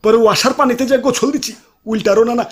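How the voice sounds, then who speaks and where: Man voicing a high, hapless cartoon sidekick. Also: rapid-fire, heavy background noise. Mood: silly complaining. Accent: Bangladeshi dialect. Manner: silly, exaggerated storytelling. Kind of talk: comic cartoon dialogue.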